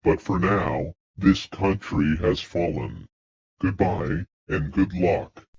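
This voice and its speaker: A man with a deep voice delivers a scripted government alert. He is slow, grave and formal, slightly synthetic, on a dull lo-fi recording. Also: gravelly, darkish tone, breathy edge. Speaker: government emergency announcer. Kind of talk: scripted emergency broadcast announcement. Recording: muffled, dull lo-fi sound.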